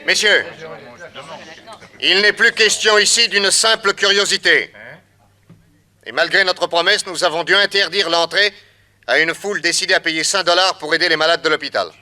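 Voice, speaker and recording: An actor playing a bold detective who addresses officials formally and with authority in a French radio drama. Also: male voice, sits high, moderate pace, long pauses, pushed and bright. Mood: commanding. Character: bold, commanding male detective.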